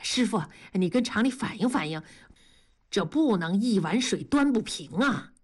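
A woman's voice: mid-range voice, animated, normal speed, breathy edge. A woman voicing a good-natured young male factory worker in an audiobook, earnest and conciliatory in colloquial speech. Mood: earnest pleading.

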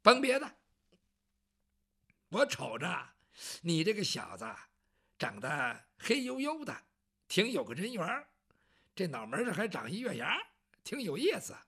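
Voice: medium pitch, huge pitch swings, measured pace, long pauses, a bit bright, husky, exaggerated voice. Storyteller doing a chatty palace eunuch. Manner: talkative, nagging, teasing. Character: chatty, fussy palace eunuch, older man. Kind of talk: traditional Chinese storytelling.

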